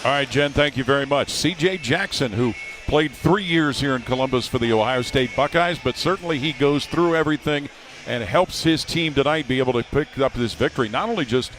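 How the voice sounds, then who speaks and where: A man play-by-play broadcaster giving smooth, informative commentary. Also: mid-range voice, brisk, a bit bright, a little hiss or hum.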